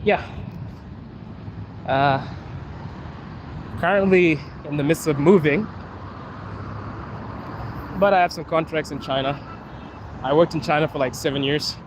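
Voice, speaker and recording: A man from Zimbabwe chatting casually on a livestream, answering viewers' questions in a relaxed way. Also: on the high side, a little slow, pauses often. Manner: relaxed, chatty, says bro and dude. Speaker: vlogger answering live chat. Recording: street noise with passing cars.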